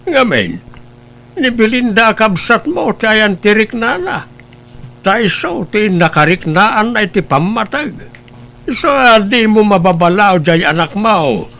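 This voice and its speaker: A man's voice: high-pitched, expressive, measured pace, pushed and bright, full character voice. A man playing a stern old grandfather. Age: older. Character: elderly grandfather, gentle and scolding.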